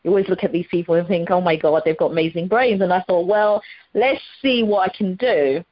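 A woman's voice: lowish voice, a little fast, no room sound. Guest: middle-aged woman, eager chat, muffled dry audio. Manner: enthusiastic, frequent fillers and restarts. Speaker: guest, former primary teacher. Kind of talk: casual interview chat.

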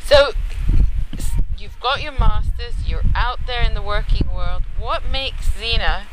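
A woman's voice: on the high side, a little slow. A woman hosting a conversational field interview, speaking in an encouraging, affirming manner. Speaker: podcast host interviewer. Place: on location at a training institute. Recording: noisy field recording, clicks.

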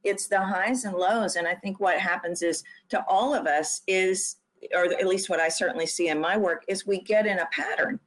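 A woman's voice: medium pitch, normal speed, fairly bright tone. A woman hosting a podcast interview, affirming her guest and often repeating and restarting her phrases. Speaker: podcast host.

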